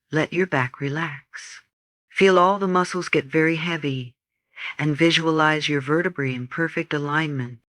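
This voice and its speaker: A man narrating a scripted guided meditation for sleep in a soothing, gentle, instructional manner. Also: medium pitch, no echo, clean studio sound.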